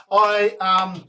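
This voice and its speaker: A man giving live fan commentary on a match, excitable and shouting at goals, his voice going hoarse and breathless. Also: high-pitched, very noisy. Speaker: watchalong host commentator.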